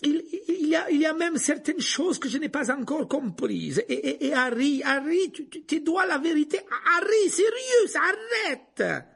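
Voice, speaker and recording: A man voicing an agitated male professor, pleading urgently in a halting, panting way. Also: very high-pitched, very animated, vocal fry, a bit bright, pitched above the performer's own voice, exaggerated voice. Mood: breathless pleading.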